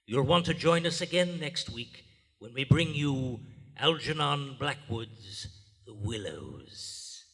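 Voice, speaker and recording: A man's voice: mid-range voice, expressive, slow pace, vocal fry, fairly bright tone, exaggerated voice. A man playing a theatrical horror host who relishes the macabre, in old-time radio style, in a reverberant theater. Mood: ghoulish relish. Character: gleefully macabre male horror-show host.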